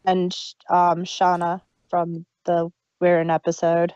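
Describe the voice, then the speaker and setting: A woman's voice: on the low side, completely flat delivery, slow, darkish tone, clear, resonant tone, dry recording. A woman co-hosting a casual review podcast, chatting with fan enthusiasm and trailing restarts. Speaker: podcast co-host. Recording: dull lo-fi dry sound.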